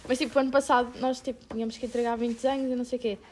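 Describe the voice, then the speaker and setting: A young woman with a European Portuguese accent talks in a very high voice at a quick clip. Her style is slangy, rambling podcast chat, with faint noise behind her. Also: little pitch movement. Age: teens to young adult. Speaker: podcast co-host, student. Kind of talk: casual group chat podcast.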